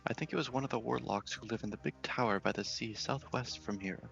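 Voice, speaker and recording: A man's voice: mid-range voice, quick pace, creaky, a bit bright, highs cut off, soft background music. Man acting out a plaintive, lonely fantasy game ghost. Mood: glum. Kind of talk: game dialogue read in character.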